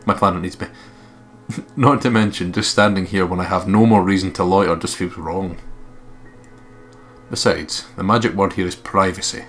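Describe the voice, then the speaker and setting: A man's voice: sits low, fairly even intonation, normal speed, a bit bright, faint music behind. A man reading game text aloud and commentating casually on a let's play, blunt and sweary.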